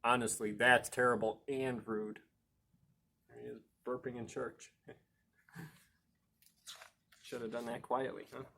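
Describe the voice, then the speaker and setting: Guy doing storytime for kids, mid-pitched voice, playful, leans on the big words, chats to the listeners. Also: gravelly, a little hiss or hum. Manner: playful, expressive, emphatic with drawn-out key words, asks listeners questions. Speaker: library storytime reader and host. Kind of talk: animated picture-book read-aloud for children, with chatty asides.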